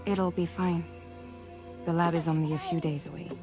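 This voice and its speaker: A low-pitched, composed woman played by an actress speaks in a cinematic video game. She is measured and earnest, explaining and persuading with care. Music plays clearly behind her. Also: fairly even intonation, close to an everyday voice. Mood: reassuring. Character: composed, persuasive woman recruiting a freelance courier.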